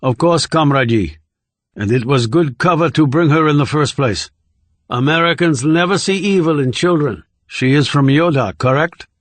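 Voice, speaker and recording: A man's voice: a little high, normal speed, dry recording, lightly acted. A man voicing a cold, callous and zealous male agent with a formal, stern manner in an audiobook. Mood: cold, contemptuous. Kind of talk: audiobook character dialogue.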